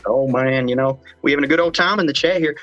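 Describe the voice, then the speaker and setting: A man's voice: mid-range voice, a bit bright, highs cut off. A young man hosting a casual livestream chat, joking and rambling. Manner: joking, rambling, fast talking. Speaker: livestream host.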